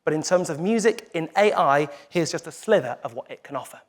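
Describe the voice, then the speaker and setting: Male host, high voice, hyping up the room from the stage. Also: brisk, slight background noise. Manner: energetic, emphatic build-ups. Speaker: event host. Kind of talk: stage presenting. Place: stage at a live event.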